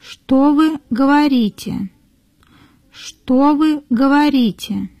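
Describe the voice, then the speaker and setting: A woman reading model sentences for learners in a careful, deliberate way, repeating each phrase. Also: sits high, warm tone, dry recording. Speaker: native-speaker model reader.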